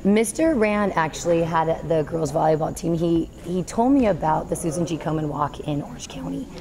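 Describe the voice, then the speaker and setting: A woman in her thirties, a girls volleyball coach, giving an earnest postgame interview in a high school gym, working through her thoughts with small restarts. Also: animated, normal speed, a crowd in the background. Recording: noisy gym ambience.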